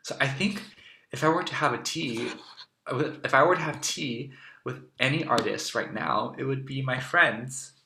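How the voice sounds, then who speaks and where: A young man from Taiwan, a guest musing thoughtfully through casual answers with soft fillers. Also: mid-range voice, moderate pace, vocal fry, background noise. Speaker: interview guest. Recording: roomy echo.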